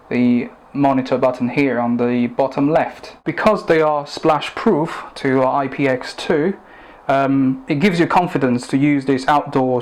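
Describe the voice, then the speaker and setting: A man giving a product review and demonstration in a measured, plain-spoken, instructive way. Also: medium pitch.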